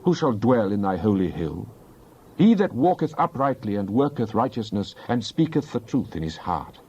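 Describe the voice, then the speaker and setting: A man playing a devout psalmist in a dramatized Bible reading, praying in an earnest, deliberate, declamatory way. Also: fairly low pitch, very animated, mellow, husky, dull audio, full character voice. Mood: solemn, declamatory. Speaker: scripture reader.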